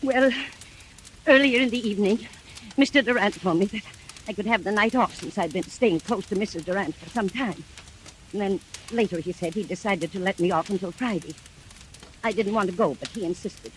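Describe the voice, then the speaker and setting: An actress plays an anxious woman caregiver in an old radio mystery. She testifies earnestly and deliberately, ready to accuse, over a faint layer of background noise. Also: mid-range voice, close to an everyday voice, low-quality recording. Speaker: witness in a mystery drama.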